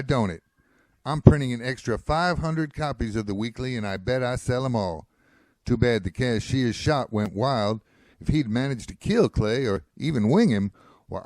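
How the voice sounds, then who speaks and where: A man's voice: medium pitch, a little mellow, dry recording, lightly acted. An actor playing an eager, boastful small-town newspaperman with a folksy, gushing manner in a radio western. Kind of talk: old-time radio western acting.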